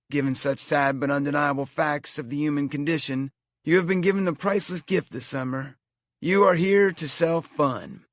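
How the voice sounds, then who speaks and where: A man's voice: a little high, dry recording, studio quality, voice pushed up, natural voice. A man voicing a very old male amusement park owner giving a solemn, sweeping speech.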